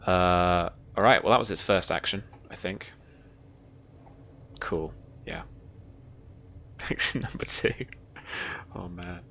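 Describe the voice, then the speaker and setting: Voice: low-pitched, takes it slowly, vocal fry, pauses often, a bit bright, slight background noise. A game master running a tabletop roleplaying game, informal in manner, narrating the action.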